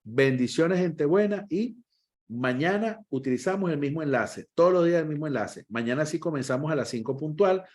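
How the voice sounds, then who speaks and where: A man, a first aid instructor, closing an online class over a video call in a lively, encouraging way and repeating himself often. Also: fairly high pitch, normal speed.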